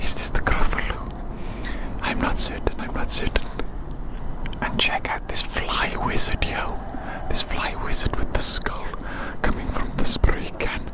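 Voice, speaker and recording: An ASMR creator whispering soothingly outdoors on a city walk, slowly repeating short phrases. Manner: soothing, slow repetition of phrases.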